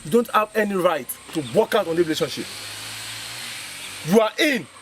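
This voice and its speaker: An actor playing a possessive, hot-tempered young boyfriend who makes aggressive, repeated demands in a melodramatic film. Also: male voice, high voice, expressive, normal speed, slight background noise. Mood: threatening.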